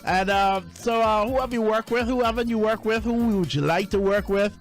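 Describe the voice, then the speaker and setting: A man's voice: high voice, normal speed, soft background music. A man hosting an upbeat radio interview in slangy, hyped-up patter. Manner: slangy hype-style patter. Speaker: radio host.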